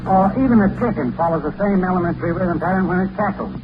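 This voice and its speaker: A man's voice: high voice, quick pace, dull audio, background noise. A man talking in scripted old-time radio style, explaining things on a vintage broadcast recording.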